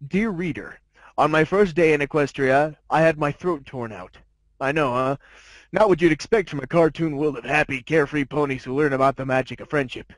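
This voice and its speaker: A man's voice: a little high, fairly quick, no echo, lightly acted. A man voicing a snobby, sardonic diamond dog who narrates his life story in a haughty, jaded, wry manner. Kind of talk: dramatic fan fiction narration.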